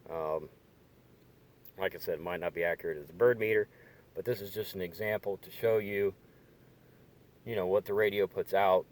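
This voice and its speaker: Guy with a low voice showing off gear in his car, kinda halting and hedging, real casual. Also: fairly even intonation. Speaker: hobbyist demonstrating radio gear. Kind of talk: casual hands-on demonstration. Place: inside a parked car. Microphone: handheld camera or phone.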